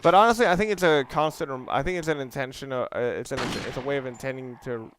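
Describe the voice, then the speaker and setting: Male gamer, mid-pitched voice, casual and excitable let's play delivery, clear background noise on the track. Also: quick pace. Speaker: video game commentator. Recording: clearly noisy home recording.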